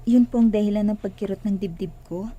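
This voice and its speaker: A woman's voice: medium pitch, brisk, dark tone, highs cut off, natural voice. An actress playing an earnest, devout and hopeful woman facing illness in a radio drama. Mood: worried.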